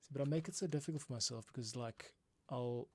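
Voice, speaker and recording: A man's voice: mid-range voice, quick pace, darkish tone. A man thinking aloud as he works through composing on a livestream, muttering to himself, with music playing and computer keys clicking. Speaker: composer streaming his process. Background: orchestral music playback, computer keys clicking.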